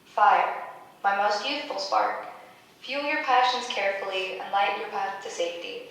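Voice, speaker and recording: A solemn, maternal nature goddess played by a woman speaks in stately incantations for a stage drama. Her pitch is medium. The sound is distant and heavily reverberant, with faint noise behind it. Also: fairly even intonation, moderate pace, a bit bright, natural voice. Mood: solemn, tender. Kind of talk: staged reading of a play. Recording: very echoey room, distant mic, background noise.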